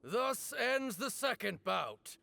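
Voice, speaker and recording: High, creaky, formal male duel officiant. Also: very animated, takes it slowly, pushed and bright, no echo, exaggerated voice. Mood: formal, solemn. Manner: formal, proclaiming. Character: formal, grave officiant of a sacred duel rite. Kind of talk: ceremonial game voice acting.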